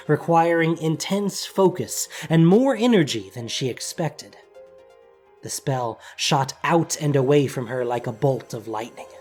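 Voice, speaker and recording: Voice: medium pitch, a little mellow. Scripted story narrator over music. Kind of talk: scripted audio drama narration.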